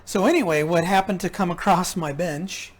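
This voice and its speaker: A man talking casually and haltingly as he thinks aloud, giving hands-on commentary as a repair vlogger. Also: sits high. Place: indoor repair workshop.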